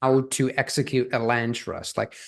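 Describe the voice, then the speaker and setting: A man's voice: medium pitch, normal speed, gravelly. Friendly male podcast host. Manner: friendly, affirming, calls guest brother. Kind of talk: casual interview talk.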